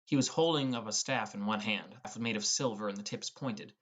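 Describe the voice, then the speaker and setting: A man's voice: lowish voice, normal speed, a bit bright. A man reading scripted first-person narration as the narrator of an audiobook.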